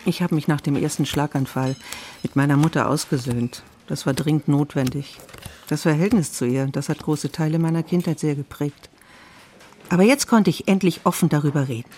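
A woman's voice: deep voice, fairly quick, a little mellow, a little hiss or hum, lightly acted. A woman playing an earnest, self-absorbed art therapist and patient who lectures insistently in an audio drama. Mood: insistent, solemn. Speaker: actor in a drama. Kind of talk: dialogue acting in an audio drama.

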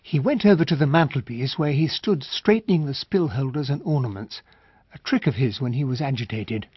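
Male actor as earnest English gentleman, Received Pronunciation, polite narrator, husky, radio drama, dry and muffled recording. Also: medium pitch, brisk, no room sound, natural voice. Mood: narrating. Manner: polite, earnest, well-spoken. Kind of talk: radio drama acting and first-person narration.

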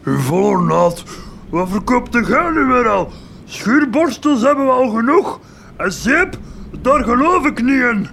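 An actor playing a grumpy, paranoid, obese Flemish butcher in a comic radio drama, grumbling and defensive. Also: male voice, extremely high pitch, sing-song, a little slow, gravelly, a bit bright, a little hiss or hum, heavily acted. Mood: suspicious, defensive.

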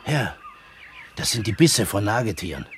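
A man's voice: lowish voice, big pitch swings, moderate pace. Radio drama part: showy, mocking man, hugely varied intonation, faint noise on an old recording. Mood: matter-of-fact. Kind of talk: German science-fiction radio play acting.

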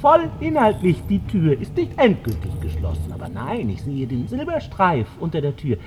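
An erratic old man in a mental ward, rather high-voiced, with pitch that leaps about. He is contrary and abrupt, played theatrically for radio, over faint noise. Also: huge pitch swings, normal speed. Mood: manic, mock-hopeful. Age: older. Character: agitated, contrary old male psychiatric patient, erratic. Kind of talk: radio drama acting.

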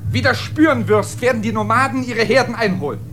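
An actress playing a watchful eagle, a grave messenger, with solemn, declamatory delivery in a poetic radio play. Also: female voice, a little high, lively intonation, brisk, fairly bright tone, slight background noise. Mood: urgent, solemn.

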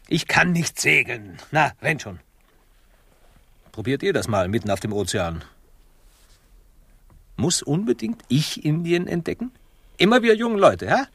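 An actor playing an exasperated, desperate seafaring admiral in a German radio play, husky and gravelly, theatrical between muttering and crying out. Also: male voice, a little high, fairly bright tone, full character voice. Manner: theatrical, muttering, swinging into cries.

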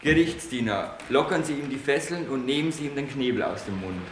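A man's voice: mid-range voice, a little fast, natural voice. A man playing a pompous Bavarian judge. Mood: stern. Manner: commanding, pompous. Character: pompous Bavarian male judge, stern and mocking. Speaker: judge in a satirical radio play. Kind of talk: 1980s amateur radio play. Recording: roomy echo, faint hiss.

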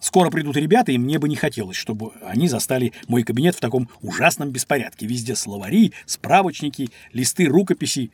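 A man playing a kindly, amused gentleman scholar in a children's audio play, inquisitive and gently indulgent. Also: mid-range voice, lively intonation, fast, few pauses, breathy edge. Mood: indulgent, busy.

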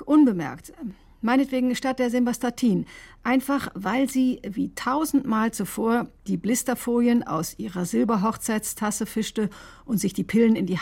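A woman's voice: fairly high pitch, gravelly, a little mellow. Probing, matter-of-fact woman doctor. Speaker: doctor accused in a criminal case. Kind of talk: German radio drama acting.

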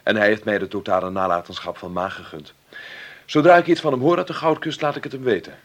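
An actor playing a mild, polite filmmaker in a Dutch radio play, with a gravelly, husky voice and a hesitant, conversational manner. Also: male voice, lowish voice, fast talker. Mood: pleased, chatty. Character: Karel Vlenderberg, gentle mild filmmaker, newly rich heir.

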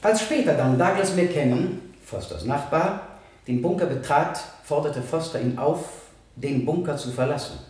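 An actor in a radio play voicing a stern, impassioned male prosecutor who addresses a jury in formal, emphatic oratory with deliberate pauses. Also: a little high, expressive, a little mellow. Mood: grave, narrating facts. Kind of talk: 1970 German radio play, courtroom oratory. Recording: echoey courtroom sound, distant from mic.

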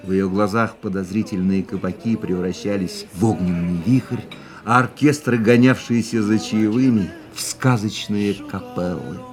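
A male actor playing a world-weary man in a radio play, musing tenderly on the woman he loves in a measured, reflective monologue. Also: on the low side, lightly acted. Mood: wistful. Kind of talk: literary first-person monologue in a radio play. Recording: music under the voice.

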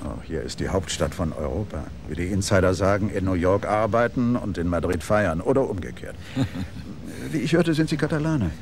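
Ein Schauspieler spielt einen eifrigen, redseligen Regieassistenten, der sich anbiedert. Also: male voice, sits low, normal speed, gravelly, slight background noise. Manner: pushy, excitable, name-dropping. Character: eager talkative male assistant director, ingratiating. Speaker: would-be assistant director.

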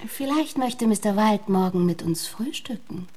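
An actress playing a flirtatious, sultry fiancée in a radio play, coquettish and gently mocking. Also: female voice, mid-range voice, animated, moderate pace, natural voice. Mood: teasing. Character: seductive, teasing beauty, wealthy man's flirtatious fiancée.

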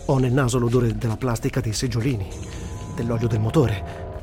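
A man's voice: mid-range voice, fairly even intonation, fairly quick, soft background music, lightly acted. A man playing a sardonic, weary but daring Italian war journalist in a theatrical radio reading of a first-person diary. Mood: grave, vivid narrating. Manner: theatrical, swinging from muttering to shouting. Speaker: voice actor reciting.

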